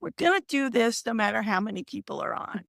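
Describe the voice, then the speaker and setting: Woman host with a scratchy, gravelly voice. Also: a little high, sing-song, quick pace, a bit bright, no room sound, studio quality. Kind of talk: casual live chat hosting.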